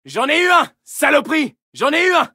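An actor playing a fierce French soldier, barking urgent, commanding battle lines for a video game. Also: male voice, very high voice, very animated, fairly quick, pushed and bright, dry recording, shouting, clean studio sound, exaggerated voice. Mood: fierce, frantic.

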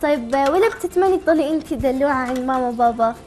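Woman, high pitch, racing pace, encouraging call-in host, chatty, music behind. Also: fast talker, darkish tone. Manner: encouraging, asks simple questions. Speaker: TV show host. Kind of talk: chatty live call-in hosting.

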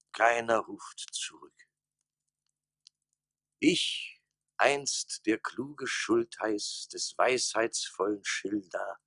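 Pompous forlorn town mayor, hoarse breathy rasp, sharp bright edge, theatrical declamation, dry close sound, radio drama. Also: male voice, low-pitched, dry recording, full character voice. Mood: forlorn, weary. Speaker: actor. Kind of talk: German radio play monologue.

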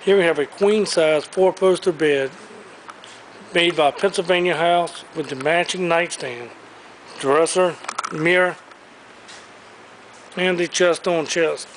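A man's voice: on the high side, slow, long pauses, slight background noise, rough recording. A man walking through an auction warehouse and showing off the items for sale, upbeat and enthusiastic, recorded on the camera he carries. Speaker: auctioneer giving a preview walkthrough. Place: large indoor auction warehouse. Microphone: handheld camera he carries as he walks.

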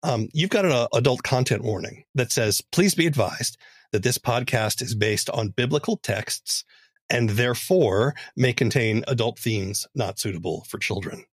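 A man's voice: mid-range voice, normal speed, breathy edge, no echo, studio quality. A man hosting a conversational podcast interview with thoughtful, careful phrasing.

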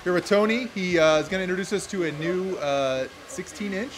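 A man's voice: high voice, brisk, over music. A man in his thirties hosting a casual trade show interview with a handheld mic, enthusiastic and full of filler words. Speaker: host.

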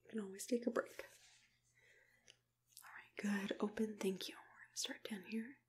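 A young woman whispering breathily and gently, reassuring the listener as she murmurs step-by-step in an ASMR dentist roleplay. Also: medium pitch, fairly even intonation, moderate pace. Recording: clear background noise with clicking tool sounds. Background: noise, clicks of dental tools.

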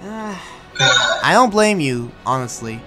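A man's voice: fairly high pitch, sing-song, slow pace, fairly bright tone, soft background music. A man speaking casually as a gaming host, improvising a roleplay as he plays.

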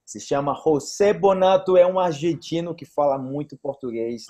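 A man's voice: a little high, warm tone. A young man hosting a casual interview over a video call, upbeat and chatty, laughing easily, speaking through an earphone mic in a small room. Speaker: host.

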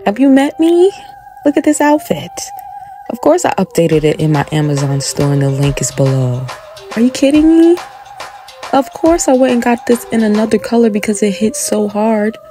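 A woman's voice: on the high side, dark tone. A young woman giving a playful, sassy voice-over for her vlog's photo montage. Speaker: travel vlogger. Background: music.

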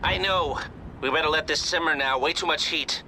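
Actor as a high-pitched wry male heist planner. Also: normal speed, close to an everyday voice. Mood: wry, businesslike. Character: shrewd middle-aged male heist planner, wry and cautious. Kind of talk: video game dramatic acting. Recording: game audio with clear background noise.

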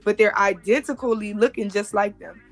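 Woman in her 30s on a video call, emphatic and repetitive, normal pitch, faint music under her. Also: brisk. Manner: emphatic, repeats herself, talks in lists. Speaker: guest. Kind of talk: casual explaining on a live stream.

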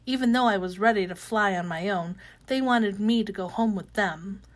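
A woman voicing a cheerful, wide-eyed young caterpillar turned butterfly in an expressive, gentle children's storybook reading. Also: mid-range voice, normal speed, close to an everyday voice. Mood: happy wonder. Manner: gentle, expressive, with excited exclamations. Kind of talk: animated read-aloud of children's picture book.

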